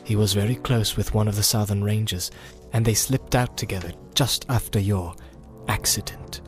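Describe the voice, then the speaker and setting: Man acting a grim, low-voiced wanderer, music behind. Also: fairly even intonation, close to an everyday voice. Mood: stern warning. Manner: measured and guarded, often dropping to a hush. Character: grim, secretive, world-weary male wanderer of the wilds. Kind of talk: audiobook character acting.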